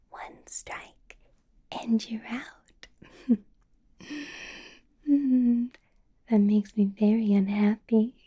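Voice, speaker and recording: A woman voicing a sweetly possessive, menacing female vampire boss in a soft, breathy ASMR whisper. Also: on the high side, heavily acted. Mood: doting whisper. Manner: sweetly possessive, amused with an undercurrent of threat. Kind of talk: ASMR roleplay.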